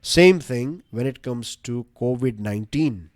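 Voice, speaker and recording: A man's voice: medium pitch, expressive, unhurried, no echo. Chatty Indian man lecturing, dry sound. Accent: Gujarati-influenced Indian English. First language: Gujarati. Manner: casual and chatty, many fillers and rhetorical questions. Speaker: current affairs teacher. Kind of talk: conversational exam-prep lecture.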